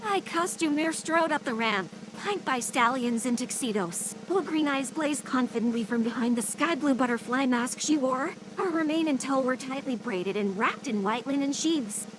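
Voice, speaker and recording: A woman's voice: sits high, expressive, moderate pace, hardly any pauses, over music. A woman narrating a scripted story as the narrator of an audio drama. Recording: clearly noisy background.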